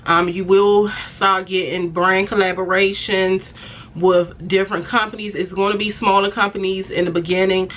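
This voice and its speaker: A woman in her forties talks straight to her viewers in an easy, chatty vlog manner, calling them by pet names and laughing often between thoughts. Her voice is a little raspy and stuffy after an illness, and her pitch sits in a middle range. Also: little pitch movement, normal speed, fairly bright tone. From Washington DC area. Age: 40s. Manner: chatty, affectionate, many fillers, laughs often. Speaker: fashion vlogger. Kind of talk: casual talk to camera.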